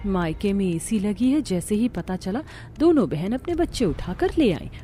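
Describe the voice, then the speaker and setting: A woman playing an irritable, city-bred young daughter-in-law in an animated family story, grumbling and sarcastic. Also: medium pitch, normal speed, a little hiss or hum, natural voice. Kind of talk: Hindi animated family-drama story acting.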